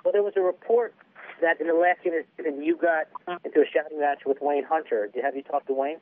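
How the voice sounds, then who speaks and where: Rapid-fire guy reporter, probing, dry phone-line sound. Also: on the high side, fairly even intonation, dry recording. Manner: pointed, probing questions. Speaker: sports reporter. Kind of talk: press conference questioning.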